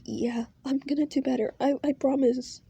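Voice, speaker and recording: A woman's voice: high-pitched, steady tone, normal speed, gravelly, mellow, breathy edge, no room sound, pitched above the performer's own voice, exaggerated voice. A woman voicing a frightened young newcomer who speaks in a shaky, pleading way. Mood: upset.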